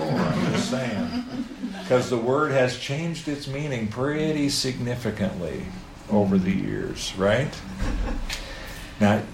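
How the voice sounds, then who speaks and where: A middle-aged American man preaching a sermon to a live audience, telling stories with playful asides. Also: medium pitch. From United States. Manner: animated storytelling, playful asides. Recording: echoey room, faint background noise.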